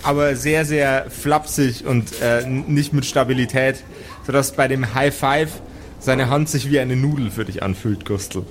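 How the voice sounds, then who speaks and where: A man improvising narration as game master of a tabletop role-playing game, halting now and then to search for words. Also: medium pitch, a little hiss or hum.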